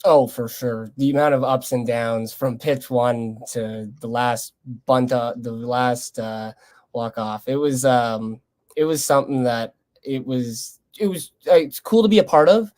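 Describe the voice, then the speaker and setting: A young Canadian man speaks in a mid-pitched voice as a guest in a casual sports interview. His phrasing is loose and conversational, and he comes across as earnest. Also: a little slow, darkish tone. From Lethbridge, Canada. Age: young adult. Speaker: college baseball player being interviewed.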